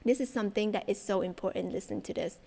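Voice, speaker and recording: A woman's voice: medium pitch, quick pace, gravelly, warm tone. A young woman from Vietnam, chatting enthusiastically to her viewers about spirituality, with plenty of fillers. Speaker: spiritual content creator. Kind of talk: casual chat to viewers.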